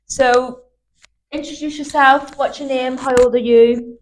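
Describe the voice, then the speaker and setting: A woman's voice: sits high, steady tone, unhurried, slight background noise, poor audio. Chatty young woman host, filler-heavy. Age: 20s. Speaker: podcast host. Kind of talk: casual chat.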